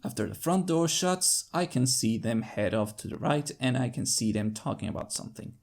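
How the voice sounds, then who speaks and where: A man with a creaky voice narrating a first-person story in an expressive, theatrical way. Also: medium pitch, normal speed. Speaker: fan fiction narrator.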